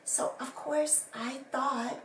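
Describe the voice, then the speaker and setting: A woman speaks slowly in a hoarse, breathy voice that is still recovering, sometimes sinking to a whisper. She rambles through a personal account with many fillers. She is heard from a distance in an echoey room with faint ambience behind her. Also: high-pitched, fairly even intonation, a bit bright, a little hiss or hum. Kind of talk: casual personal statement to camera.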